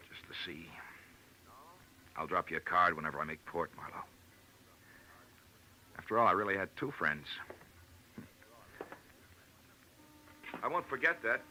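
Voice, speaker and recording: An actor playing a betrayed, dejected man in a 1940s radio drama, halting and subdued in manner. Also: lowish voice, very animated, sharp tone, heavily acted. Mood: resigned. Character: betrayed, dejected man slowly grasping the truth. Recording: faintly noisy vintage radio recording.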